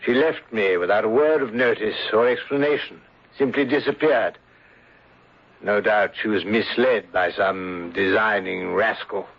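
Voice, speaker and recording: An actor playing a plausible gentleman villain in an old-time radio drama, formal, courteous and aggrieved. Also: lowish voice, unhurried. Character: smooth, plausible gentleman villain, falsely sorrowful. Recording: muffled old radio broadcast.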